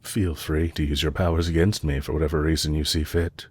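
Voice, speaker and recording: A man playing a composed, courteous villain leader who is possessive and lovestruck, speaking formally and deliberately in an intimate romantic audio roleplay. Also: extremely low pitch, little pitch movement, slightly rough. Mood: sincere, gentle. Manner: formal, deliberate, courtly phrasing with long pauses.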